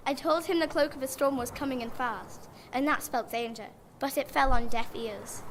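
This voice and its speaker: High-voiced grieving schoolmistress played by a young girl. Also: fairly bright tone, a little hiss or hum. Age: child or young performer. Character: tall, lonely, grieving schoolmistress, a widow. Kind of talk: radio play acting.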